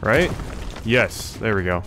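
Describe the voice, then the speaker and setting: A man's voice: on the low side, animated, slow, a bit bright. A man giving casual let's play commentary on a video game, thinking aloud as he plays. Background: video game music and effects.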